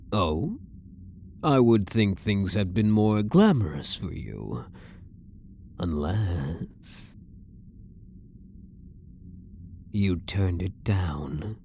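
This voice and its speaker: A man's voice: fairly low pitch, darkish tone, a little hiss or hum, heavily acted. A man voicing a possessive, coy elf prisoner in an intimate ASMR roleplay, teasing and devoted, sometimes dropping toward a whisper. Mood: sly, flattering. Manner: teasing, slow, lilting delivery dropping into whispers.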